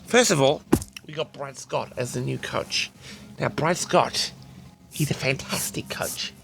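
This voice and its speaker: A man with a tired, raspy voice, chatting casually and loosely to his audience with many restarts. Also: fairly high pitch, a bit bright. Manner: rambling, repeats and restarts sentences. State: tired, raspy from a late night. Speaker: audio log host. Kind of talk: casual rambling chat to audience.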